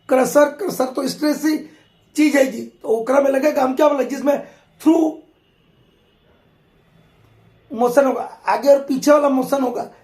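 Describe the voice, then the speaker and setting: A hoarse man, a mining exam tutor talking through old test questions in a conversational way and repeating key points. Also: very high voice, a little mellow, roomy sound. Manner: conversational teacher, addresses students fondly, repeats key points. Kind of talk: explaining exam questions in an online class.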